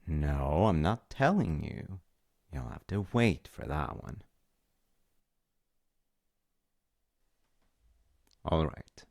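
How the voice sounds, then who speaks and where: A man playing a gentle, loving, wise dad in an intimate audio roleplay, speaking tenderly and hesitantly in short phrases with a gravelly, breathy voice. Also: a bass voice, lively intonation, slow pace, dry recording, clean studio sound, full character voice. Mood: playfully firm. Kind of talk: intimate audio roleplay, improvised-sounding storytelling.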